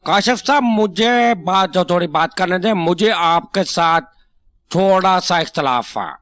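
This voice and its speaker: A man's voice: sits high, unhurried, fairly bright tone, no echo. A man with a Pakistani Punjabi accent acting a stubborn, bossy, argumentative host on a parody political talk show. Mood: formal. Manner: interrupting, bossy, long-winded.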